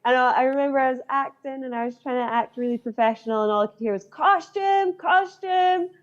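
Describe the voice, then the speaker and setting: A woman's voice: sits high, fairly quick. A young woman from Northern Ireland, a guest actor chatting casually in an interview and telling stories with lots of fillers. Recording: dull lo-fi sound.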